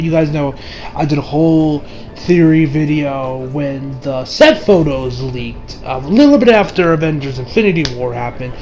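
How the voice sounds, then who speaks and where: Young male reviewer, high voice, casual and rambling delivery, music underneath. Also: darkish tone. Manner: rambling, repeats and rephrases himself. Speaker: movie reviewer. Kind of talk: casual spoken review over gameplay.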